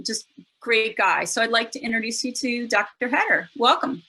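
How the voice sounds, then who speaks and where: A woman's voice: on the high side, animated, moderate pace, pushed and bright. A woman hosting a casual online conversation, chatty and loose, with many fillers and restarts. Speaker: podcast host. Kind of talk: casual webinar chat.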